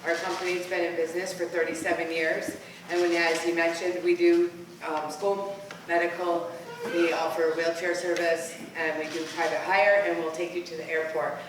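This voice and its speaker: Flat-voiced woman accepting an award. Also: lowish voice, normal speed. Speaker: business owner accepting an award. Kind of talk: acceptance speech. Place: banquet room at an awards dinner. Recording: distant echoey hall with noise.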